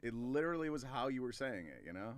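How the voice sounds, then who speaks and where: A man's voice: medium pitch, sing-song, fast talker, no room sound. Guy on a talk show panel just chatting, voice swings up and down a lot. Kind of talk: casual chat.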